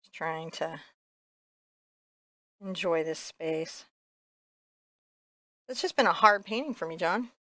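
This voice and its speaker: Bright-voiced woman teaching painting, playfully chatty. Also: on the low side, takes it slowly, pauses often, dry recording. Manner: playful, chatty, self-deprecating. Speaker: painting teacher, show host. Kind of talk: casual painting lesson with banter. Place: indoor art studio.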